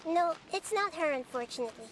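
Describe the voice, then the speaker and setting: A woman voicing a small, calm, wise young girl deity in an anime game, light and composed. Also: very high-pitched, noisy background. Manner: composed, measured, explanatory.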